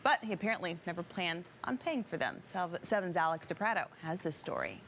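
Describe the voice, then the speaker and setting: A woman's voice: fairly low pitch, sing-song, a little fast, creaky, fairly bright tone, slight background noise. A woman anchoring the news, reading scripted stories in broadcast style.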